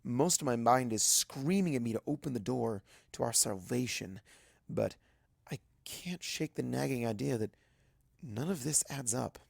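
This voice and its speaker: A man's voice: mid-range voice, lively intonation, moderate pace, vocal fry, no room sound, studio quality. A man reading a first-person story aloud as dramatic scripted narration. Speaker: audiobook narrator of fan fiction.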